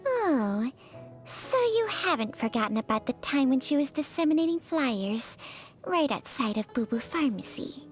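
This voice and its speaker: A sly talking snake from a video game, sharp-tongued and teasing. The voice sits high and swings freely in pitch, with music underneath. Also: female voice, big pitch swings, normal speed. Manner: teasing, pointed. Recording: voice over background music.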